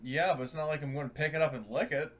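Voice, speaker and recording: A man's voice: on the high side, fast talker. A man chatting casually and jokingly as a player in a tabletop game.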